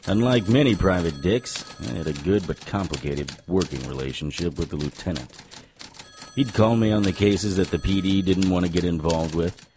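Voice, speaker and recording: An actor playing a wry, hard-boiled supernatural private detective in an audio drama, deadpan and sarcastic with a raspy voice. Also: male voice, deep voice, moderate pace, a little hiss or hum. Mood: wry, brooding. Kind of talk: audio drama dialogue and noir narration.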